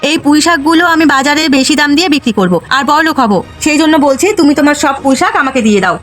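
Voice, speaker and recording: A woman's voice: high voice, very fast, fairly bright tone, soft background music. A woman voicing a greedy, quarrelsome village wife in a cartoon, scolding and demanding. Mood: demanding, threatening. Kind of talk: Bengali cartoon dialogue.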